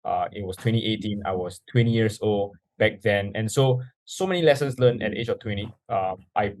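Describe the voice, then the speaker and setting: A man's voice: on the low side, brisk, darkish tone, no echo. A young man from Singapore, telling his story as a podcast guest in an earnest way full of "you know" fillers. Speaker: guest, startup founder.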